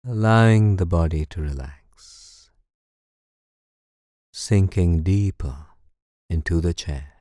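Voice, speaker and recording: A man leading a guided meditation in a calm, soothing, measured way. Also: low-pitched, no room sound, studio quality. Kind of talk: slow guided meditation narration.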